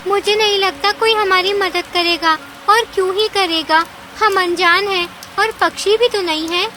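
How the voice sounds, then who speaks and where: A woman's voice: very high voice, fairly even intonation, unhurried. A woman voicing an anxious young female mouse in a cartoon story, plaintive and fretful. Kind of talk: Hindi cartoon story dialogue. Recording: clear rain and ambience noise.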